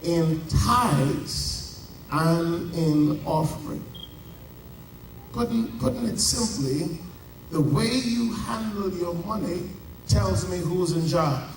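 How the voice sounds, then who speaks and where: High-voiced Bahamian pastor preaching slowly. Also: male voice, lively intonation, slight background noise. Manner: preaching with dramatic pauses and drawn-out emphasis. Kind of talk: sermon. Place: large church. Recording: echoey church heard from a distance.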